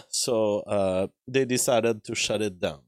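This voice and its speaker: Hoarse man with a cold, low voice. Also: no room sound, clean studio sound. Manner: halting, with frequent fillers and throat-clearing. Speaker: livestream show host. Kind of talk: casual live-stream commentary reading chat. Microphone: streaming microphone.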